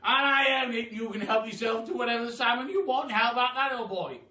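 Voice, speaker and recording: A man voicing a loud, pompous, generous aristocratic Duke from a storybook, grand and exclamatory. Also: extremely high pitch, brisk, voice pushed up. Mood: boastful, grand. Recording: roomy echo, far from mic.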